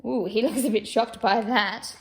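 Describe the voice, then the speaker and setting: A woman reading a picture book aloud to young children in an expressive, sing-song way. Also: on the high side. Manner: slow, expressive, sing-song delivery with asides. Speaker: story reader for preschool children.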